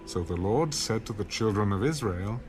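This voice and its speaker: A man reading scripted audio Bible narration in a measured, solemn manner. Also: fairly low pitch, lively intonation, background noise. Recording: music under the voice.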